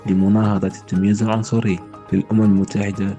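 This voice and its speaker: A man reads scripted news very quickly in a low, mellow voice. His delivery stays even, with music playing behind him. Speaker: news reader.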